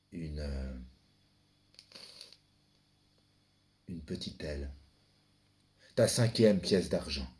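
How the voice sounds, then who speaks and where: A man performing a theatrical monologue as a brooding son, the ferryman of the dead, addressing his father in a deliberate, dramatic, incantatory manner. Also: deep voice, a little mellow. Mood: solemn, insistent. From France. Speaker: stage performer.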